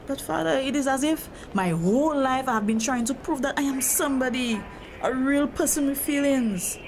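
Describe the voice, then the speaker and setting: A woman's voice: sits high, normal speed, over music. A woman acting as a hurt, troubled grown daughter in a drama, speaking earnestly and confidingly about feeling trapped. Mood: frustrated. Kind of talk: dramatic acted dialogue. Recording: noisy location sound with steady ambience.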